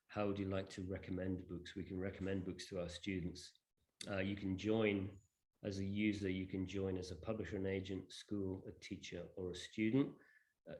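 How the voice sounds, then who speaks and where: Low-voiced man giving a live demo. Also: darkish tone. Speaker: presenter demonstrating a digital library. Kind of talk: live product demo to an audience.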